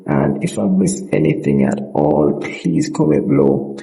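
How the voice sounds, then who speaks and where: A man's voice: very deep voice, unhurried, vocal fry, dark tone, rough recording. A man narrating an informal app tutorial as its host, explaining in a repetitive way and correcting himself.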